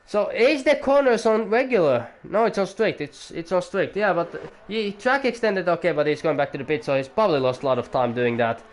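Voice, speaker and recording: Young male league commentator, rambly and jokey, slightly high-pitched. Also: fairly quick, hardly any pauses, slight background noise. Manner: rambling, chatty, jokey asides to viewers. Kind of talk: live sim-racing commentary.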